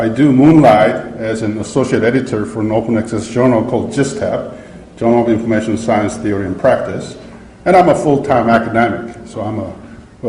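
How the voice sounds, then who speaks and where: A man presenting at an academic seminar in English, pausing often with fillers and restarting his phrases. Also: fairly low pitch, mellow, a little hiss or hum. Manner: halting, many uh fillers and restarts. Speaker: academic conference speaker. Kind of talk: conference presentation. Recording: echoey lecture hall.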